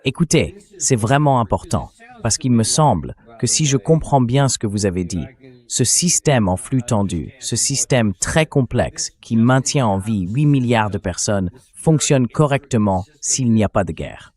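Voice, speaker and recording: A man's voice: medium pitch, animated, a little slow, a little mellow. A man's synthetic AI voice dubbing an interview host in a translated political interview.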